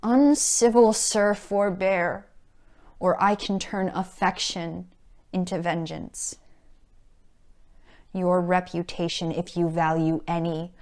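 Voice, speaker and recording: A woman playing a heartbroken, proud noblewoman in a classical stage monologue, speaking in formal, archaic, measured phrases. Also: lowish voice, steady tone, a little mellow. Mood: scornful anger. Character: heartbroken noblewoman, anguished, proud, renouncing her lover.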